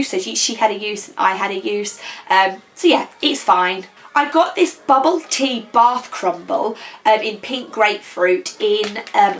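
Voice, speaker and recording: A young woman with a slightly croaky voice, chatting casually through a beauty product review and rambling with frequent ums. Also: mid-range voice, a bit bright, slight background noise, poor audio. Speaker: beauty vlogger. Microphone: camera.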